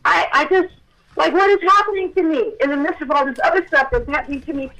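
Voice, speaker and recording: An actress with a childlike voice telling a personal story with feeling, at times close to shouting. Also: female voice, high-pitched, fast, rough recording. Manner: animated, swells to near shouting at intense moments. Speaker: actress telling her testimony.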